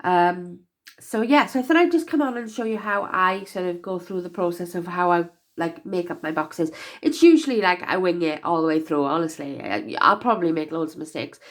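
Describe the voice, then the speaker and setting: A young woman talks through a craft project in a loose, chatty way, full of "um" and "like". Her voice sounds stuffy and nasal from a cold. She keeps a relaxed, unscripted flow as she thinks out loud. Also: on the low side, a little fast. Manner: chatty, rambling with many fillers. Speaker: crafter demonstrating box making. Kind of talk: casual craft-along chat.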